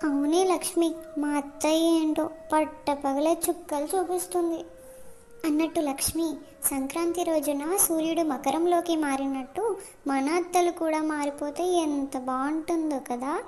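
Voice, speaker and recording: A woman's voice: sits high, steady tone, moderate pace, mellow, lightly acted. A woman voicing a put-upon, grumbling young village daughter-in-law in a cartoon moral story.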